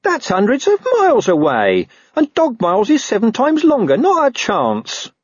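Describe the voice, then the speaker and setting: Cocky, grumbling talking street dog, high-pitched. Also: male voice, wildly swooping pitch, normal speed, dry recording, lo-fi sound, full character voice. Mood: suspicious, grumbling. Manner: grumbling, cocky, uses rough slang and bad grammar. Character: wary, boastful, streetwise talking dog, male, scruffy.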